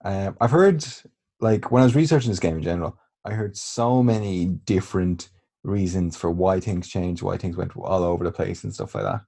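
A man's voice: low voice, normal speed, vocal fry, dark tone, breathy edge. A man answering quiz questions in casual chat, hesitant and thinking aloud with fillers. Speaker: quiz contestant.

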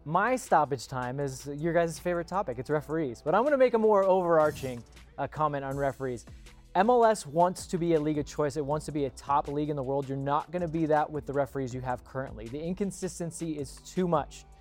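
A man's voice: fairly high pitch, fast talker, soft background music. A man hosting a sports show, giving casual, opinionated commentary in an emphatic way.

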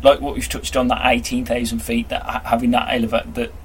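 A man's voice: medium pitch, flat delivery, fast talker, slight background noise. A man hosting a podcast interview, chatting casually and encouraging his guest with brief affirmations. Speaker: podcast host.

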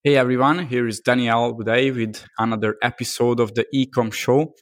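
A man's voice: medium pitch, steady tone. Man hosting a podcast. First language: Hungarian. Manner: halting, many fillers and restarts. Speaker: podcast host. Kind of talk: casual interview chat.

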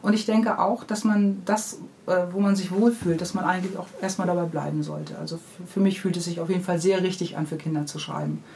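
A woman's voice: fairly low pitch, steady tone, fast, vocal fry, a little mellow, slight background noise. A woman who writes children's books, answering interview questions in an unscripted, conversational way. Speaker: children's book author being interviewed.

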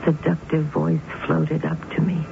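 Brooding, creaky-voiced middle-aged woman. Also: low-pitched, little pitch movement. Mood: morbidly intense. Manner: intense, deliberate, theatrical. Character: brooding, obsessive middle-aged woman haunted by her sister. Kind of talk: dramatic radio narration. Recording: muffled vintage radio broadcast with music.

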